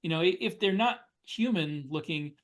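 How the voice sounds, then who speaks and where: A man with a somewhat high voice talks informally as a podcast panelist. His delivery is halting, and he often repeats words while forming a thought. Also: animated. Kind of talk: casual discussion.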